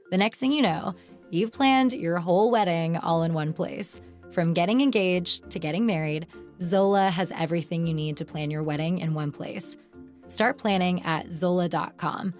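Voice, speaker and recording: A woman reading a scripted commercial voice-over. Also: lowish voice. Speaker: advertisement voice-over. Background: music.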